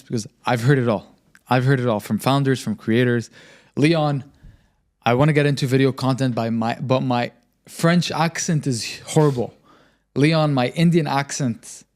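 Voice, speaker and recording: A man's voice: medium pitch, moderate pace, a little mellow. Confident man explaining marketing advice. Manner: confident, explanatory, frequent emphatic repetition. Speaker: podcast host and marketing agency founder. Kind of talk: podcast advice talk.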